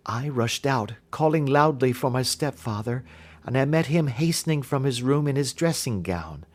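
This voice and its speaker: A male audiobook narrator with a mid-pitched voice. He reads classic detective fiction as scripted narration, in a storyteller's style. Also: animated, normal speed.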